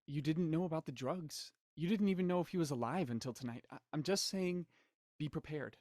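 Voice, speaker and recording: A man's voice: a little high, a little fast, darkish tone, no echo, studio quality, close to an everyday voice. An actor playing an anxious, skeptical man who pleads and stammers in an audio drama. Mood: wary. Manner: hesitant, stammering.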